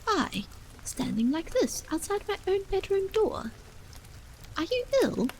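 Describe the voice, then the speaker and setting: An anxious, insistent wife pleading at a bedroom door, voiced by a woman reading a story aloud. Her voice rises high and swoops widely with vexation. Soft rain is heard behind. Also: big pitch swings, a little slow, slight background noise, heavily acted. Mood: vexed. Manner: vexed, insistent, exclamatory.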